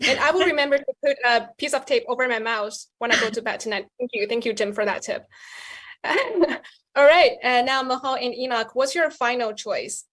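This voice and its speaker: Higher-pitched woman hosting a debate show. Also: moderate pace, a bit bright. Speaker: host.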